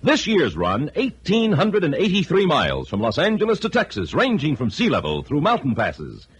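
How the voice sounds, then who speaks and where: A man's voice: a little high, huge pitch swings, moderate pace, breathy edge. A man announcing and reading an advertisement in the style of 1950s radio. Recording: vintage radio recording.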